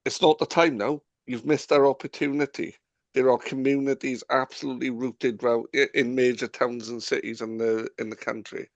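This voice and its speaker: Animated man asking rhetorical questions. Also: medium pitch, moderate pace, vocal fry, no room sound, highs cut off. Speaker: podcast co-host. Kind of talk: casual rugby chat.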